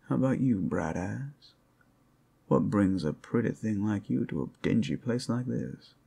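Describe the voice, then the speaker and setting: A man playing a flirtatious, rowdy but friendly werewolf in an ASMR roleplay, with a gravelly, husky voice and a folksy, teasing drawl. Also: fairly low pitch, dark tone, heavily acted. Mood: teasing, playful.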